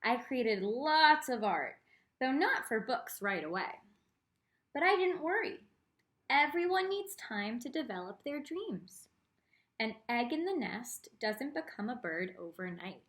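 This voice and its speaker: A woman reading a picture book aloud to children as an art teacher, expressive, with teaching asides spoken directly to the young listeners. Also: on the high side, measured pace.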